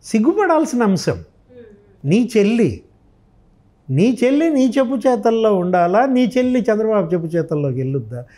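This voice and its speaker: A man's voice: high-pitched, sing-song, normal speed, mellow. A man giving impassioned political commentary, emphatic and full of rhetorical questions. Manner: emphatic, rhetorical questioning, re-enacting others' remarks.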